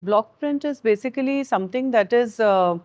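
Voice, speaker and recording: A woman giving an unscripted explanation, pausing often to search for words. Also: fairly high pitch, a little mellow.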